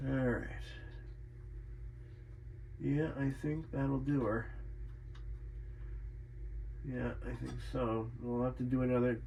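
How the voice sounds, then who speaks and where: Conversational man over clearly noisy room sound. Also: medium pitch, pushed and bright. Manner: conversational, thinking aloud, self-questioning. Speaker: radio repairer presenting own video. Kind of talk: casual chat while doing a repair.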